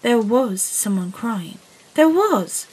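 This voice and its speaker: An actress playing a lonely, curious young girl who narrates her own story in a breathy, hushed way. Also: on the high side, sing-song, slow, heavily acted. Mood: determined. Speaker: narrator and lead character. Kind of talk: audio drama narration.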